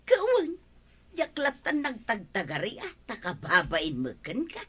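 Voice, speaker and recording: A cunning, brash village mother in a radio comedy. Her voice is bright, forceful and rather high, with big exclamatory swoops and an Ilocano Filipino accent. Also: wildly swooping pitch, measured pace, breathy edge, full character voice. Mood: indignant.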